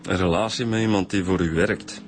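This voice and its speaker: Actor as low-voiced, mild Flemish police inspector. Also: male voice, fairly even intonation, a little fast, lightly acted. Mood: questioning. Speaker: actor in radio drama. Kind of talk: radio crime drama acting. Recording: music behind the voice.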